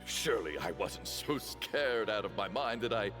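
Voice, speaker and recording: An actor playing an anxious, talkative young travelling companion in a video game, fretful and full of exclamations. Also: male voice, lowish voice, animated, moderate pace, fairly bright tone, in a large hall, soft background music. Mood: shaken, relieved.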